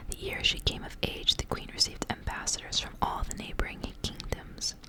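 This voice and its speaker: A woman reading a story aloud in an ASMR style, whispering softly and without hurry. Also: rough recording. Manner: whispering, soft and unhurried storytelling. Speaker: ASMR reader.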